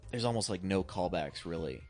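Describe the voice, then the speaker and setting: A man co-hosting a casual film discussion, explaining in a halting way and often correcting himself. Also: deep voice, animated, darkish tone, soft background music. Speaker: podcast co-host.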